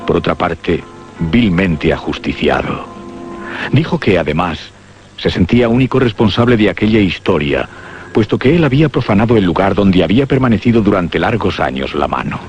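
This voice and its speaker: Low male narrator, spooky radio play, music playing behind, kinda dull lo-fi sound. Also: animated, normal speed, a little mellow. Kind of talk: scripted horror radio drama narration. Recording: dull lo-fi audio under music. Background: prominent music.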